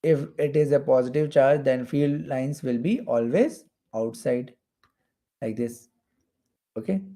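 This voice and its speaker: A male physics instructor gives a step-by-step lecture. His voice is creaky and somewhat mellow, and he often restates a phrase to drive it home. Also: mid-range voice, a little slow. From India. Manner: repeats phrases for emphasis. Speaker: physics teacher for exam preparation. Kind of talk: explanatory lecture while drawing diagrams.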